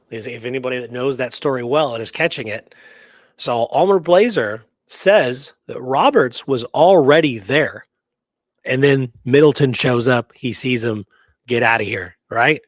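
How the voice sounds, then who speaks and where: A man's voice: medium pitch, moderate pace, dry recording. A man casually telling and discussing history as a show co-host, emphatic and often checking in with "right?".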